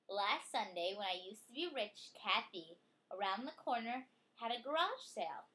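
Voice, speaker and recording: A woman's voice: medium pitch, animated, normal speed, pushed and bright. Woman reading aloud expressively to a child. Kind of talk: picture-book read-aloud with chatty questions.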